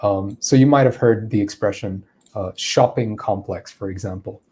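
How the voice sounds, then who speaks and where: Mellow-voiced man explaining English. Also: on the low side, moderate pace, breathy edge. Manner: explanatory, thinking aloud with fillers. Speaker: English teacher. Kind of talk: answering viewer questions in a lesson.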